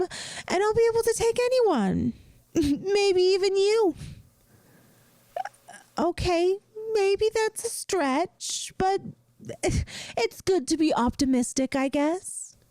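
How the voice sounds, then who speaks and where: A woman playing a caring young best friend in a soft, intimate ASMR roleplay, speaking in a gentle, earnest, playful way. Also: extremely high pitch, no echo, exaggerated voice. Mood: playful, reassuring. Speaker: audio roleplay performer.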